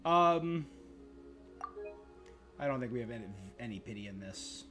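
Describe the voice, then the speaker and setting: Man, game streamer, casual live commentary, lively swings in pitch, short thinking-aloud phrases, clear music behind. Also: unhurried.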